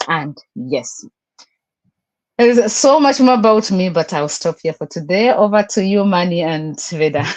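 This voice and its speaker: A woman's voice: fairly low pitch, expressive, unhurried, darkish tone, low-quality recording. A middle-aged woman with a Ugandan accent, giving a personal testimonial in short, emphatic phrases on a group call. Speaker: guest, business coach and language teacher.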